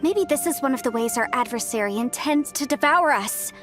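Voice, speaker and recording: A woman voicing a bright, confident young legal adviser and fighter in a video game, chatty and theatrical. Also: sits high, lively intonation, brisk. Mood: breezy, confident. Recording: game music behind the voice.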